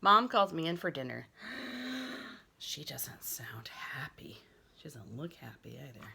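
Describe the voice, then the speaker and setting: Low, creaky, bright-voiced woman reading aloud. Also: a little slow, slight background noise. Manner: expressive, dramatic reading for children. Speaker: school librarian. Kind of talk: children's story read-aloud with asides.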